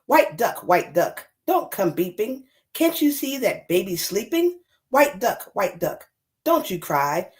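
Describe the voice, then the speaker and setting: Anxious young-child's mama for a picture book, woman reader: pleading, hushing, sing-song, swooping intonation. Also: medium pitch, very animated, slow. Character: anxious mother hushing animals to protect her sleeping baby.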